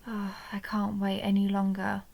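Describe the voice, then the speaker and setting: A woman playing a seductive, possessive vampire countess in an intimate audio roleplay, speaking slowly and teasingly, often dropping toward a breathy whisper. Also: medium pitch, completely flat delivery, background noise, close to an everyday voice. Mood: hungry, tender. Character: seductive possessive vampire countess toying with her human captive. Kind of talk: intimate dark romance audio roleplay, ASMR-like.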